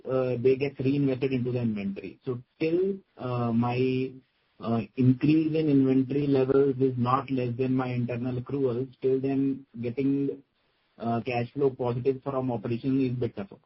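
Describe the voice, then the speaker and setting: A man with an Indian accent giving matter-of-fact, halting answers as a finance executive on an earnings call. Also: mid-range voice, steady tone, husky. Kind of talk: spoken answers on a business conference call. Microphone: conference call line. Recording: dull, lo-fi conference call audio.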